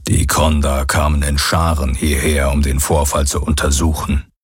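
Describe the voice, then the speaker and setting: A man reading an audiobook voices a grave male alien who tells of past events in a low, slow, husky voice. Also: little pitch movement, no echo, clean studio sound.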